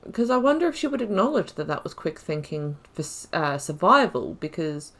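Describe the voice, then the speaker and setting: A woman's voice: on the low side, very animated, moderate pace, fairly bright tone. A woman hosting a gaming video, giving casual, reflective playthrough commentary as she thinks aloud. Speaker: gaming video host playing a role-playing game.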